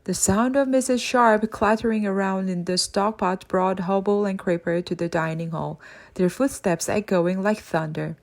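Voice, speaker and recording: A woman reads a children's adventure story aloud as an audiobook narrator. Her voice sits at a middle pitch, and she moves between narration and acted dialogue. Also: normal speed, creaky, a little mellow. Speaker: audiobook reader.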